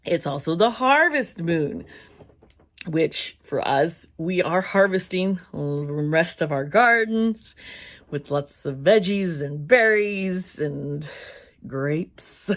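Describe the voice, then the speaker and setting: A woman with a somewhat low voice talks casually to her viewers, her voice hoarse and worn as if she is losing it. She rambles a little, restarts her sentences and laughs often between thoughts. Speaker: tarot reader and channel host. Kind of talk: casual chat to camera.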